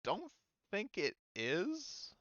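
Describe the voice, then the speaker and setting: A man's voice: sits high, wildly swooping pitch, extremely slow, a bit bright, no echo, highs cut off. A man running a tabletop roleplaying game as game master, casual and hesitant, often thinking aloud as he checks the rules.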